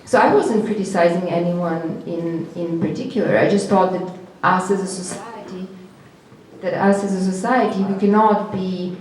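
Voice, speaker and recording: A woman from the former Yugoslavia whose first language is Serbo-Croatian, speaking as a panelist in a reflective, halting way. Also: lowish voice, darkish tone. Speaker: panelist, performance artist. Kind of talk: panel discussion answer. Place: gallery event space. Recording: echoey gallery room.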